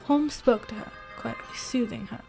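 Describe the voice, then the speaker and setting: A woman playing a puzzled, loyal gentleman companion and storyteller in a radio drama, earnest and old-fashioned in phrasing. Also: fairly high pitch, normal speed, gravelly, soft background music. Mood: narrating.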